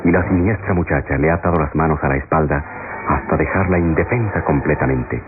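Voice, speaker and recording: An actor as the solemn narrator of a suspenseful radio melodrama, telling the story gravely and dramatically. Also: male voice, very deep voice, fairly quick. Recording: tinny radio sound under loud music.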